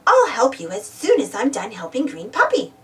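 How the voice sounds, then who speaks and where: A woman's voice: a little high, huge pitch swings, normal speed, fairly bright tone, recorded from a distance. A woman voicing a kindly, cheerful preschool teacher in a storybook, encouraging and sing-song.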